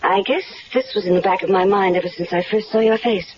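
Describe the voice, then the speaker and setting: A woman's voice: mid-range voice, fast talker, close to an everyday voice. A woman voicing a scheming, seductive wife who coaxes a man toward murdering her husband, in a 1970s radio drama. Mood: coaxing. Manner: coaxing, persuasive. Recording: dull, faintly hissy 1970s radio recording.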